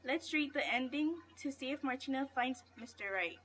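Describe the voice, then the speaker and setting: A woman's voice: high-pitched, expressive, normal speed, fairly bright tone. A woman reading a folktale aloud as a storybook, with faint noise in the background. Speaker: reader at a read-aloud event. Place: school library.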